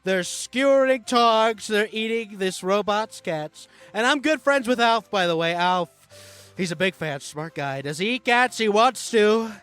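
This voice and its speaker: A boastful populist candidate, played by a man, speaks in a high voice over music. He rambles through folksy, repetitive asides as in a parody campaign ad. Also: animated, a little slow, fairly bright tone, smooth. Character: bragging, rambling male populist politician running for president.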